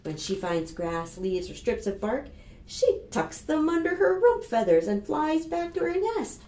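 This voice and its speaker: Expressive American storytime woman, echoey room. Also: a little high, measured pace. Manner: expressive, instructive, addressing young children with questions. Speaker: children's librarian and storytime host. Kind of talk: read-aloud and rhymes for preschoolers. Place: small plain indoor room. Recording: roomy echo with clear background noise.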